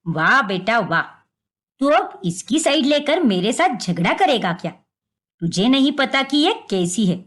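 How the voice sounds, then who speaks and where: A stern older mother-in-law from a storybook drama, acted out by a woman. She is bossy and suspicious, scolding with a sharp tongue. Her delivery swings up and down in lively, animated steps. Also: on the high side, measured pace, voice pushed down, exaggerated voice. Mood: angry, accusing.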